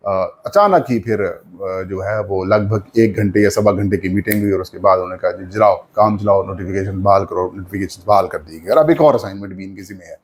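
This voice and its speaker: Low-pitched Pakistani man giving political commentary. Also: fairly quick, hardly any pauses. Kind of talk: unscripted political news commentary.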